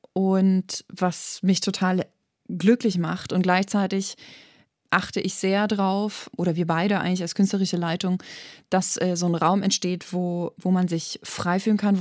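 A woman's voice: on the low side, darkish tone, dry recording, studio quality. A young woman from eastern Germany, a musician answering interview questions in an earnest, thoughtful way, often restarting her phrases. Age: young adult. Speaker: guest musician.

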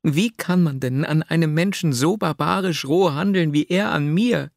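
A man's voice: fairly high pitch, normal speed, gravelly, no echo, studio quality, pitched above the performer's own voice. A man voicing a distraught, jilted young woman in an audiobook, emotional and pleading, given to exclamations. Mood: anguished, outraged. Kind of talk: dramatic literary audiobook reading.

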